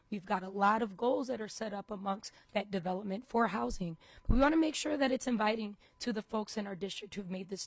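A woman's voice: mid-range voice, gravelly, slightly rough, dry recording. A woman state representative from Minnesota giving a passionate, emphatic introduction at a committee hearing. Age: young adult to middle-aged.

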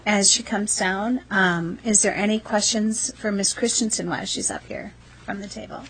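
A woman's voice: medium pitch, steady tone, moderate pace, a bit bright, slight background noise, poor audio. A woman chairing a committee meeting, moderating the speakers in a polite, businesslike way.

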